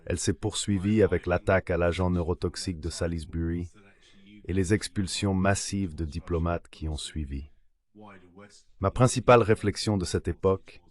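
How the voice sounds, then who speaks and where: A low-voiced man delivers an interview guest's answers as a dubbed translation. The reading is scripted and measured, in an explanatory register. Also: a little mellow. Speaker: interview guest, former diplomat.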